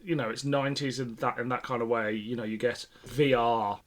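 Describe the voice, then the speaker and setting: A man's voice: medium pitch, level tone, brisk. A man co-hosting a podcast, explaining background details in a casual, conversational review style. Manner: informative, explanatory. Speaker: podcast co-host.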